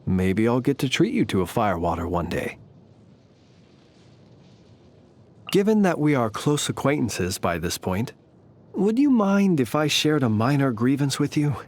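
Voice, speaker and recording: A male actor performs an ancient gentleman soldier from a video game, a man who only passes for human. His mid-pitched voice is courtly and measured. He phrases everything formally and politely, with dry understatement. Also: big pitch swings, natural voice. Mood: genial, wry. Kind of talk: scripted game character voice lines.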